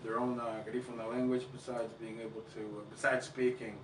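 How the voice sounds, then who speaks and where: A man in his thirties, originally from Honduras, who speaks with a North American accent as a guest in a relaxed interview, often repeating words as he thinks. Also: mid-range voice, fairly quick, noisy background. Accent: North American English. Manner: hesitant, repeats words, laid-back. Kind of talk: casual interview. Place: indoor office with African decor. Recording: echoey room, mic far off, guitar music behind.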